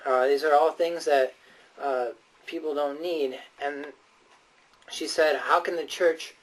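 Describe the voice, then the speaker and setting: A man's voice: on the high side, steady tone, slow pace. A man delivering a persuasive, sermon-like speech in an earnest, emphatic and often hesitant way. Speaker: speaker giving a prepared speech. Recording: voice distant from the microphone.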